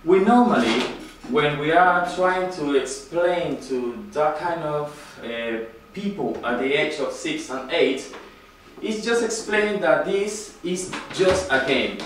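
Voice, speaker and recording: A man from Ecuador with a Spanish accent gives an informal classroom presentation in halting, non-native English, often checking with a short tag question. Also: a little high, animated. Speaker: student presenter, football coach. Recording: very echoey room, distant mic, noisy.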